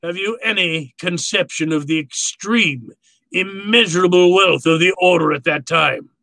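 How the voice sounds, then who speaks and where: An actor playing a courtly, gloating villain in a 1940s-style radio drama, with a florid, pompous, theatrical delivery. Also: male voice, sits high, normal speed, creaky, pushed and bright, no room sound, heavily acted, poor audio. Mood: relishing. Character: courtly, gloating, verbose male villain, obsessive collector.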